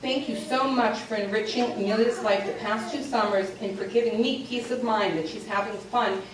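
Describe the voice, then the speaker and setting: A woman's voice: fairly high pitch, steady tone, moderate pace, a little hiss or hum. An older woman giving an articulate prepared testimonial speech as a grandmother. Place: large echoey hall. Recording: very echoey hall, far from mic.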